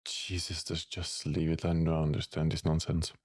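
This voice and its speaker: A man's voice: rumbling low, level tone, moderate pace, a little mellow. A man from the Republic, giving blunt, dismissive reaction commentary. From Czech Republic. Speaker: reaction video host.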